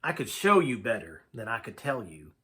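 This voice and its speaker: A man reading the storybook part of a steady, plainspoken mountain guide in an understated, folksy way. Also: medium pitch, normal speed, voice pushed down. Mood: wary.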